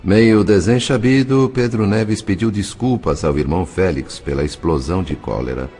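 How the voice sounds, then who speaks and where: A male narrator with a Brazilian accent reads a scripted story. His voice is deep, and soft music plays behind him. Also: normal speed.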